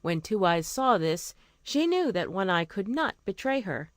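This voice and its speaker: Expressive woman narrating a fairy tale. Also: medium pitch, measured pace, dry recording, clean studio sound. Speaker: storyteller.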